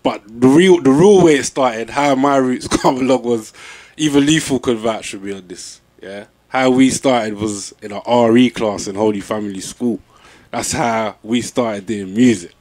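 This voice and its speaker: Young London man, relaxed MC guest telling stories. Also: medium pitch, moderate pace. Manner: laid-back, peppered with "you know what I'm saying". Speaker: MC interviewee. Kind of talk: casual interview chat.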